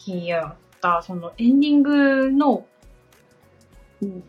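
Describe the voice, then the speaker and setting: A woman's voice: on the high side, expressive, slow pace, breathy edge. A woman assistant being coached, speaking politely and a little hesitantly as she searches for words. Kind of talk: casual, polite listening and questions. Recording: dull lo-fi sound, faint music behind.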